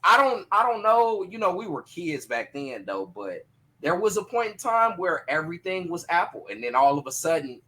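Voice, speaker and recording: A man chatting casually on a podcast panel in an animated, slangy, profane way. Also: high voice, normal speed, low-quality recording.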